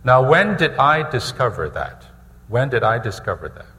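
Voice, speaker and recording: Middle-aged male pastor, deliberate sermon delivery with repeated phrases, long hall reverb. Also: medium pitch, very animated, bright, forceful tone. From Hong Kong. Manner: measured, repeats phrases for emphasis. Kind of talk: sermon testimony.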